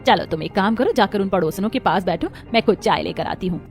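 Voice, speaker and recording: A woman voicing a protective middle-aged mother-in-law in an animated family drama, motherly and firm. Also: mid-range voice, fast, vocal fry, faint music behind. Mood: caring, reassuring.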